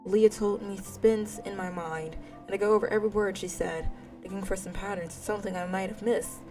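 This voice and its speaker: A woman's voice: mid-range voice, steady tone, brisk. Playful woman gamer, faint music behind. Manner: playful, self-deprecating, informal. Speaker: gaming streamer. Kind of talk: casual let's-play commentary, reading a visual novel aloud.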